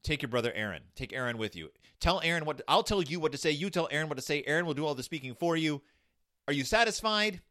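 Man voicing exasperated God, comic retelling, bossy, offhand, lively pitch. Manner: brisk, offhand, impatient commands. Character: exasperated casual male God, bossy and impatient.

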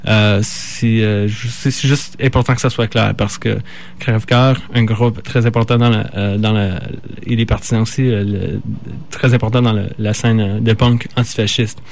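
A man with a Canadian French accent hosting a radio show, talking informally and haltingly as he stumbles over words and corrects himself. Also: fairly low pitch, fairly even intonation, gravelly. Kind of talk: informal live radio talk.

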